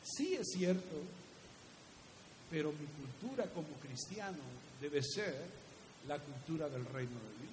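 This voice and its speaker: Male preacher, high-pitched voice, instructive delivery, recorded in a very reverberant hall. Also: expressive, measured pace. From Guatemala. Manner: didactic, with rhetorical questions and repeated key phrases. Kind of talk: teaching sermon. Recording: echoey hall reverb with faint hiss.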